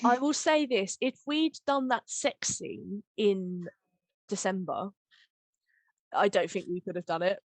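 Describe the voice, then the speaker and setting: A woman's voice: mid-range voice, measured pace, dry recording, poor audio. A woman hosting a casual podcast chat about audio drama work, speaking in a gushing, enthusiastic way. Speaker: host and audio drama editor.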